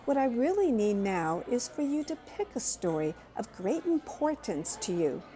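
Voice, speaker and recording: A woman's voice: a little high, sing-song, moderate pace, mellow. A woman playing a polite, inquisitive young magazine reporter in an audio drama, speaking in a careful, formal way. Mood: eager.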